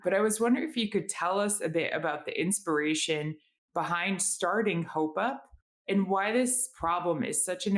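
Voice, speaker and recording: A woman hosting an interview podcast, introducing guests politely and asking questions with "you know" fillers. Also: lowish voice. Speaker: podcast host.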